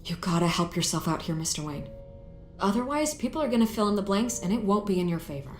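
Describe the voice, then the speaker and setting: An American actress playing a self-assured newspaper reporter in a video game, smooth, insistent and persuasive. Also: female voice, medium pitch, animated, fast, natural voice. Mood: urgent. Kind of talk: video game voice acting, cinematic dialogue. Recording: roomy, faint music behind.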